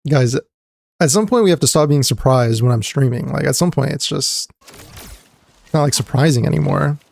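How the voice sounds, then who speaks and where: A man streaming a game, his voice a little gravelly and dry today, rambling casually and greeting viewers between trailing fillers. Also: medium pitch, normal speed, a little mellow, no room sound. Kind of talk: casual live-stream chat.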